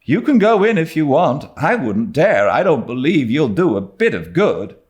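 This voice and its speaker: Man doing an excitable high-voiced little girl. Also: moderate pace. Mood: alarmed. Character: excitable, loyal, gossipy young girl. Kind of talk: dramatised audiobook reading of a classic novel.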